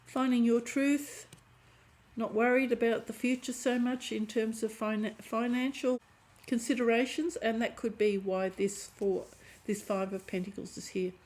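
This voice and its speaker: A woman giving a chatty tarot reading to camera, hoarse and often clearing her throat as her voice fades. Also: fairly high pitch, little pitch movement, moderate pace, darkish tone, poor audio. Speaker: tarot reader.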